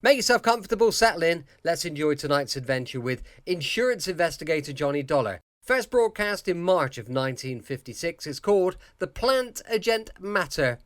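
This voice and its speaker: A man's voice: on the high side, sing-song, moderate pace, few pauses, fairly bright tone, dry recording, studio quality. A British man hosting a podcast from a bedroom, giving a chatty, informal introduction. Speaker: podcast host. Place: small bedroom, indoors.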